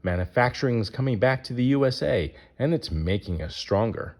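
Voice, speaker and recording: A man's voice: medium pitch, lively intonation, brisk, a little mellow. A man reading a rhyming children's picture book aloud as its narrator.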